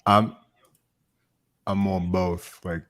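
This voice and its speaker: A man's voice: low voice, level tone, extremely slow, a bit bright. A man chatting casually as an auditioning actor on a live stream. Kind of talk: casual chat.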